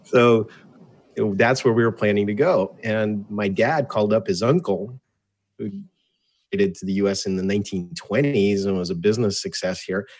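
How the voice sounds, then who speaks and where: A man's voice: lowish voice, normal speed, darkish tone. A man in his fifties with an American accent, telling his life story conversationally as an interview guest, with wry, jokey asides. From born in Soviet Russia, grew up in the United States from age eight. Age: 50s. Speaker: interview guest, entrepreneur and author. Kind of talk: casual autobiographical storytelling in an interview.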